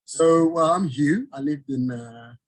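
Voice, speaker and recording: A middle-aged man from Ghana, a business owner talking casually in an interview over a video call, relaxed, often chuckling and restarting. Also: a little high, unhurried.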